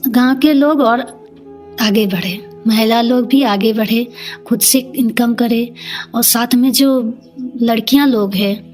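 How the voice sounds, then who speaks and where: A woman's voice: a little high, darkish tone. A middle-aged woman, speaking earnestly and plainly as she tells her own story in an interview. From Jharkhand, India. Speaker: interview guest, community leader.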